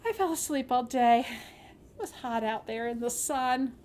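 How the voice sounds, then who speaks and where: A woman's voice: fairly high pitch, animated, moderate pace, darkish tone, a little hiss or hum, close to an everyday voice. Woman as a suspicious storybook sister. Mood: sheepish. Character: suspicious, lazy, mean sister in a fairy tale.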